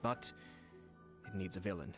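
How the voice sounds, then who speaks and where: A man voices a shrewd, courteous young nobleman in a video game, speaking in a fairly low voice. He is measured and formal, polite yet pointed, with soft music behind him. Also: lightly acted. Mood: earnest, persuasive. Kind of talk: scripted game dialogue.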